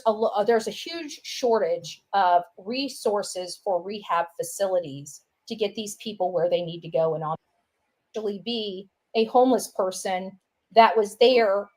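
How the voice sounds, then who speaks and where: A woman's voice: mid-range voice, a little slow, a little mellow. A woman giving a casual, opinionated monologue, rambling along and often repeating herself with filler phrases. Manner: rambling, repeats herself, says "you know" and "right" often.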